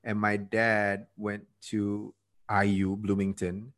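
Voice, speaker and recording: A man's voice: lowish voice, monotone, slow pace, fairly bright tone. A Malaysian man in his fifties, a podcast guest, telling his story in a casual way, laughing at himself and often trailing off. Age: 50s. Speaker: podcast guest, TED speaker. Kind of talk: conversational storytelling.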